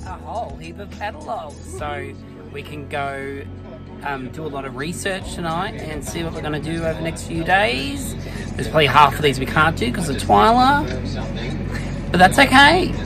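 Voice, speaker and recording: A man in his thirties with an Australian accent, chatting casually as he films himself in a camper van with a phone held at arm's length. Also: fairly high pitch. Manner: chatty, upbeat, with soft asides to his dog. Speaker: vlogger. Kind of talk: casual vlog narration. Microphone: handheld phone at arm's length.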